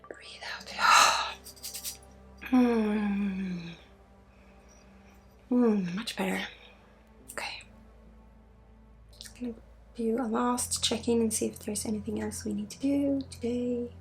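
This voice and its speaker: A woman leading an ASMR energy healing session, soft-spoken and often slipping into a breathy whisper. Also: fairly high pitch, steady tone, slow pace, pauses often, soft background music. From Sweden. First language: Swedish. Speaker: energy healer and spiritual guide.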